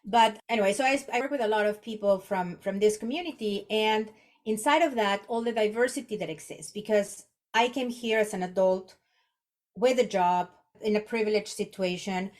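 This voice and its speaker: A woman's voice: medium pitch, moderate pace, darkish tone. A woman in her forties from Mexico, a native Spanish speaker with a Mexican accent, reflecting conversationally as an interview guest and often restarting her phrases. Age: 40s. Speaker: podcast guest, executive coach.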